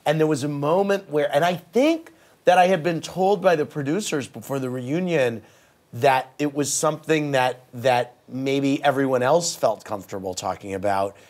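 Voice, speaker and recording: A man in his forties hosting a talk show, speaking candidly and quickly with frequent restarts. His voice sits at a middle pitch and is picked up close on a lapel mic in a television studio. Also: a little mellow. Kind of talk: casual interview chat. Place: TV studio set.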